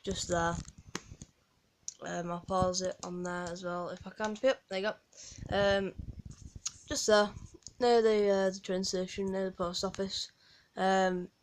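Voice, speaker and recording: A woman with a Yorkshire accent gives a rambling, casual walkthrough of a game from home, full of ums and restarts. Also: mid-range voice. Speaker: gaming guide creator.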